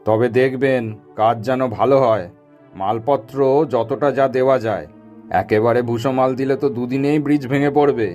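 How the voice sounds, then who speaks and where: A man's voice: medium pitch, fairly even intonation, moderate pace, darkish tone, dull audio, faint music behind, natural voice. A man playing a greedy, self-important businessman in a cartoon, demanding and domineering. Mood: demanding, smug. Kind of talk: cartoon voice acting.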